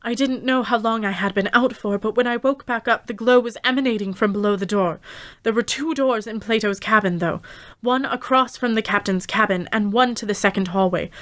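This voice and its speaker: A woman playing a brave but frightened young adventurer, narrating her ordeal at sea in a measured, dramatic radio drama style. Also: a little high, a bit bright, lightly acted. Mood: tense, hushed.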